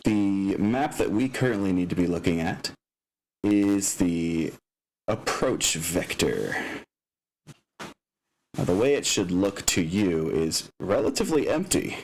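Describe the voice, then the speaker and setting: Game master, male, low voice, casual and halting, explaining rules and maps to players. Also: lively intonation, darkish tone, a little hiss or hum, rough recording. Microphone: open microphone picking up room sounds.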